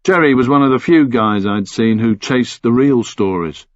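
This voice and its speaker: A man narrates a thriller audiobook in the first person. His voice sits at a middle pitch. The recording is very dry, with a slightly muffled top end. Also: expressive, normal speed, no echo.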